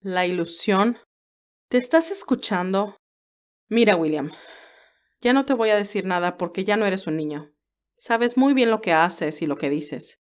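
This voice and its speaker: A woman's voice: mid-range voice, animated, measured pace, pauses often, natural voice. A woman voicing a stern, protective older male advisor in an audio drama, speaking in an earnest, admonishing way.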